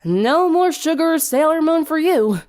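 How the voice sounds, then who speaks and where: A woman's voice: sits high, expressive, normal speed, a little mellow, pitched above the performer's own voice. A woman voicing a blunt, practical teenage girl in a story reading, with a dry, no-nonsense manner. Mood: dry dismissal.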